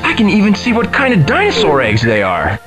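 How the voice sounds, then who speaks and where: Game sidekick: cheeky young research assistant, hugely animated pitch, goofy and excitable, music clearly behind. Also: male voice, a little fast. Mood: cheeky, upbeat. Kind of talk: video game character dialogue. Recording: game audio with prominent music. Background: clear background music.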